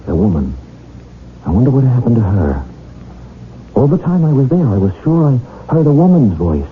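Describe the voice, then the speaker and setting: Anxious young male lead, acted by a man, halting and insistent, widely swinging intonation, old muffled radio-drama broadcast. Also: medium pitch, very animated, slight background noise, natural voice. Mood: troubled. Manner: agitated, halting, pleading. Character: anxious, insistent young man, accident victim, recently blind. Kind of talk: 1970s radio drama acting.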